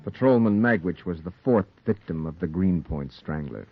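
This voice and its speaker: Low-voiced, driven noir newspaper reporter. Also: male voice, animated, moderate pace. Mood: grim narration. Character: driven, guilt-ridden male newspaper reporter in a noir thriller. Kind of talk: 1940s radio drama acting and noir narration. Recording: muffled vintage 1940s radio recording, music behind.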